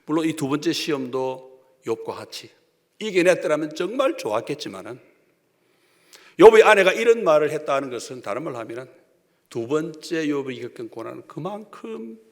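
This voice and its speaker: Lively Gyeongsang-accented man preaching in a hall. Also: on the high side, moderate pace. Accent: Gyeongsang Korean. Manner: emphatic preaching with sharp swings between loud and hushed. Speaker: pastor. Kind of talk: sermon. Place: church sanctuary. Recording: long church hall reverb.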